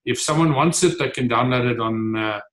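A man's voice: mid-range voice, normal speed, fairly bright tone. A man in his forties from the Free State with a South African accent, Afrikaans his first language, answering interview questions earnestly from a home office. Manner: earnest, gestures while talking, frequent fillers. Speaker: guest, author and entrepreneur. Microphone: video call.

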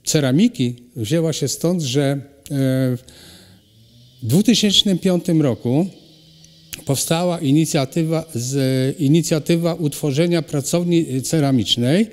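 A middle-aged man, a ceramic artist, answering interview questions in a halting way with many pauses and restarts. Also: on the high side, darkish tone. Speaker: ceramic artist and cultural animator, guest. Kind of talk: hesitant conversational interview answers. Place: hall at a cultural centre. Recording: long reverb of a hall.

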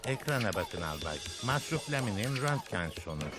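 A man's voice: fairly low pitch, very animated, a little fast, over loud music. A man playing a dutiful, nervous junior military man in a Turkish-dubbed cartoon, formal in manner. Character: deferential, nervous military underling, male.

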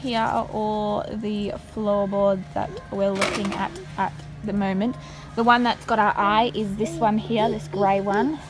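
A woman's voice: mid-range voice, flat delivery, measured pace, background noise. A young Australian woman vlogging casually on her phone, chatting to viewers in a store and a car. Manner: chatty, addresses viewers as guys. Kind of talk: casual vlog to camera. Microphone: phone at arm's length.

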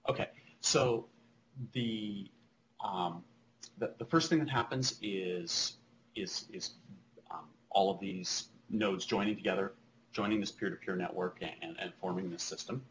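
Man, middle-aged, lowish voice, halting explainer, technical slide presentation, noisy webcam audio. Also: fairly bright tone. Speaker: keynote speaker, technology presenter.

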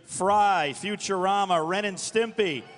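Male panel host, high voice, energetic, projected, big echoing hall, live announcing. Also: slow pace, slight background noise. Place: large convention hall stage. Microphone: handheld microphone. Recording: reverberant hall sound system.

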